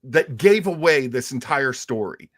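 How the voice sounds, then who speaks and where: Animated, unhurried man hosting a show. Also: mid-range voice, dry recording, clean studio sound. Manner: animated, deliberate storyteller with emphatic repetition. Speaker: radio show host. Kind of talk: casual storytelling talk show.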